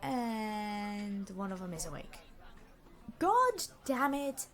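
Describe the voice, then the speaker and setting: A woman playing a weary immortal supervillain in an ASMR roleplay, an exasperated boss who is gentle with hostages, speaking formally with dry sarcasm. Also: mid-range voice, big pitch swings, slow pace. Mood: exasperated, commanding. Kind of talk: scripted ASMR roleplay acting.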